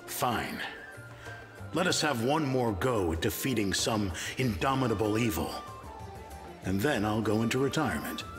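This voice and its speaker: An actor playing a world-weary, guarded aging dwarf assassin in a video game, gruff and measured, with a hidden tender side. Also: male voice, lowish voice, expressive, lightly acted. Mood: resigned, gruff. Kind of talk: scripted video game dialogue acting. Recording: game music behind the voice.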